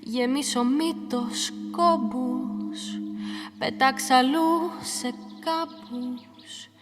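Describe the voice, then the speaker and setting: A dreamlike woman, played by an actress, draws out a lullaby verse very slowly. Her voice is high and hangs in long reverb over soft music. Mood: dreamy.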